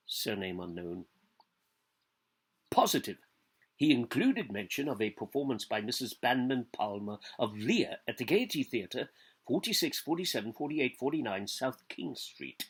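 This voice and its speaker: A man reading literary prose aloud like a stage actor, declamatory and deliberate, lingering over lists and pausing between phrases. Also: mid-range voice, husky.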